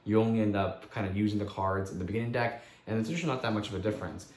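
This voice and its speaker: Hoarse man with a low voice. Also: racing, darkish tone, echoey room. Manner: rambling, self-correcting, lots of "however". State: hoarse voice after a cold. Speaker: board game reviewer. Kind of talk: casual video review. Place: at home.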